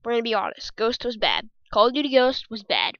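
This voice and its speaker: A young woman with a squeaky voice hosting a casual gaming podcast from her bedroom, rambling and joking as she talks. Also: sing-song, a little fast, dry recording, muffled recording. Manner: rambling, jokey, self-correcting. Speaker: podcast host.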